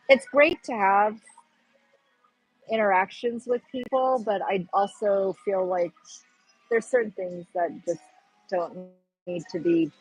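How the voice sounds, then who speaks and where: A young woman with a Pacific Northwest American accent chats casually to her livestream viewers. Her voice is airy and a little breathy, and she wanders between thoughts while she hunts for the right words. Soft music plays faintly in the background. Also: medium pitch, rough recording. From Pacific Northwest, United States. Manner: rambling, chatty, searching for words. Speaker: livestream host.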